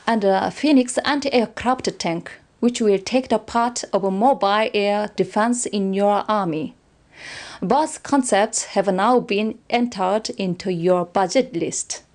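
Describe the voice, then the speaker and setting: Female military scientist, medium pitch, formal, dutiful, orderly video game briefing. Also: slow pace, darkish tone. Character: formal, loyal female weapons scientist of an empire. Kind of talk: scripted game cutscene briefing.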